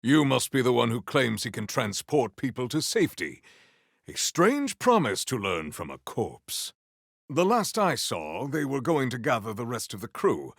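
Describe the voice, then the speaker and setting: Game hero, stern proud warrior: gravelly, formal, theatrical delivery that swings widely in pitch. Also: male voice, very animated, moderate pace, fairly bright tone, no room sound, clean studio sound, full character voice. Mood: solemn, formal. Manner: formal, grandiose, theatrical declarations. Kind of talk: video game character voice lines.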